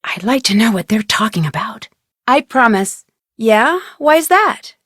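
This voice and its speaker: Video game lead: inquisitive young American woman sleuth, plucky, conversational, crisp, higher register, dry studio sound. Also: sing-song, a little slow, a bit bright, no room sound, full character voice. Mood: matter-of-fact. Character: plucky, inquisitive young female amateur detective. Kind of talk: scripted video game voice acting.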